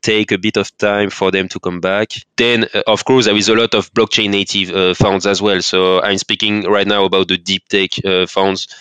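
A man's voice: sits low, level tone, normal speed, hardly any pauses, sharp tone, clean tone, no room sound. A French man with a French accent, speaking as a podcast guest in a casual interview, hesitant, with many fillers and restarts. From France.

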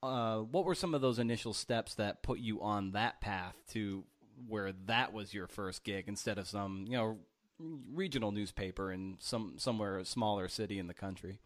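A man hosting a casual interview, feeling his way haltingly through his questions. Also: lowish voice. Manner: halting, rephrasing questions as he goes. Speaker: podcast host, interviewer.

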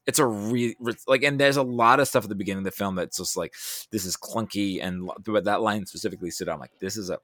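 A man talking casually about films, thinking aloud in a halting, animated way with self-quoting asides. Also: on the low side, no echo. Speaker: podcast guest discussing film. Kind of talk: casual film discussion.

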